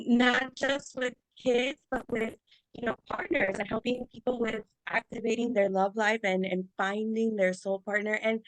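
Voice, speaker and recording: A woman's voice: on the high side, fairly bright tone, no room sound, rough recording. A woman podcast host whose first language is Spanish, speaking in an effusive way with laughs and fillers. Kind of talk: conversational podcast interview.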